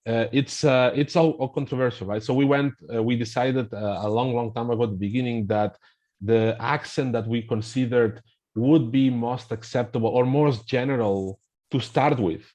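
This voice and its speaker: A man's voice: medium pitch, normal speed, a little mellow. A middle-aged man with a Spanish accent, explaining things in a hesitant conversational way, full of fillers and restarts. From Spain. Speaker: language app representative, guest.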